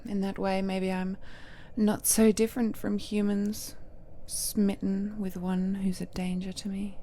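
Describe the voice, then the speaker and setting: Slow, whispery ancient villain goddess, acted by a woman. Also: lowish voice, mellow, slight background noise. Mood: wistful, fond. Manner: slow, intimate, often drops to whispers. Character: ancient cursed deity, possessive, tender yet menacing villainess. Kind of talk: romantic villain audio roleplay monologue.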